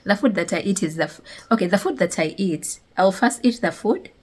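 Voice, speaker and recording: A young woman from Uganda, chatting casually and telling animated stories as a vlogger, with a husky voice. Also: medium pitch, a little fast. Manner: animated storytelling with laughs. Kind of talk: casual chat.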